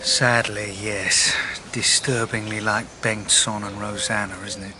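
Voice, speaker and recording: A man playing a methodical, level-headed police detective in a naturalistic radio drama, brisk and matter-of-fact. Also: lowish voice, little pitch movement, background noise, natural voice. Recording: radio drama with faint background ambience.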